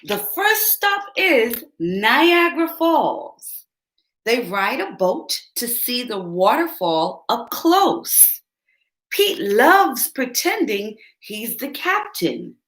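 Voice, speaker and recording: A woman's voice: a little high, very animated, very slow, a bit bright. A woman reading a picture book aloud to young children, turning to ask her listeners questions along the way. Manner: speaks directly to young listeners, asks them questions. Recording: roomy echo.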